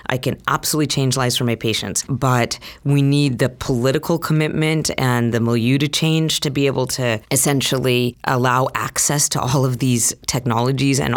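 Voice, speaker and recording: A middle-aged woman physician from Utah, answering interview questions in earnest, with long chains of points. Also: very deep voice. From Utah, United States. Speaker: physician and conference co-chair.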